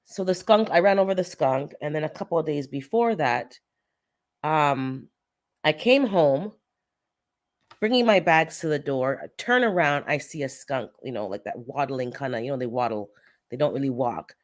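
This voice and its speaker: A woman's voice: sits low, lively intonation, moderate pace. Stuffy-nosed chatty woman livestreaming. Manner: chatty storyteller, laughs often, greets chat by name. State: stuffy, congested nose. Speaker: gardening channel host. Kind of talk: casual livestream chat with viewers.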